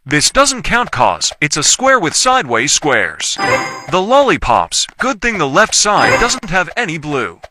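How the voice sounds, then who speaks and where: Male gameplay commentator, animated intonation, short repetitive statements, noisy recording. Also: fairly high pitch, unhurried. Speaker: gaming video creator.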